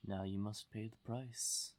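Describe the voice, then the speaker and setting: A man voicing a smug, possessive fae king in an intimate roleplay audio drama, teasing and commanding with silky menace and drawn-out pauses. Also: on the low side, fairly even intonation, a little mellow, close to an everyday voice. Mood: cold menace.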